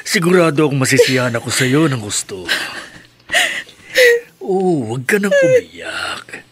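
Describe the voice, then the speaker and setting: A man's voice: mid-range voice, huge pitch swings, noisy background. An actor playing a lecherous, gloating rich male bar customer in a radio drama. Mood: gloating, lustful. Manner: slow, gloating, leering.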